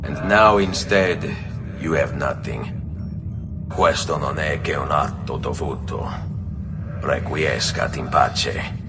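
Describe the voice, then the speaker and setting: A grave, low-pitched Italian assassin from a video game. He speaks with an Italian accent in measured, formal phrases, resolute and solemn. Music plays behind him. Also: exaggerated voice. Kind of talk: dramatic video game cutscene acting.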